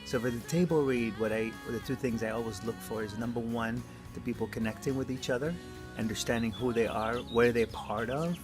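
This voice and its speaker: An earnest man speaking as an indie film director, thinking aloud with a halting delivery. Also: mid-range voice, fairly even intonation. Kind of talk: interview answers and addressing a group. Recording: background guitar music. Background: music.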